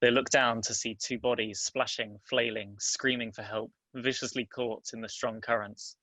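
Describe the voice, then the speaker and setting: A man reading stage directions as scripted dramatic narration, in a measured, terse and deliberate way. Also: mid-range voice, steady tone, bright, forceful tone, no room sound, low-quality recording.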